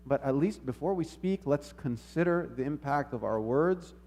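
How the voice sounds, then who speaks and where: Higher-pitched man preaching, measured and reflective. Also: lively intonation, a little mellow. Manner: measured, reflective, with rhetorical questions and repeated phrases. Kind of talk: sermon, teaching a congregation.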